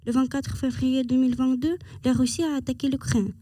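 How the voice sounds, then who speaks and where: A woman's voice: high voice, fairly even intonation, brisk, mellow, no room sound. A woman from Ukraine, a language student, giving prepared interview answers.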